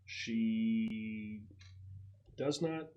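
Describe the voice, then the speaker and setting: A man's voice: on the low side, very slow, darkish tone, highs cut off. A man acting as game master, narrating a tabletop roleplaying game in a conversational, explanatory way and thinking aloud as he goes.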